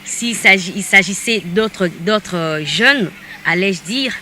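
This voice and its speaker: A woman's voice: mid-range voice, slow. A woman from DR Congo hosting a talk show, chatting casually with a guest and teasing him now and then in Lingala phrases. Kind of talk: casual interview chat. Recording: loud background noise.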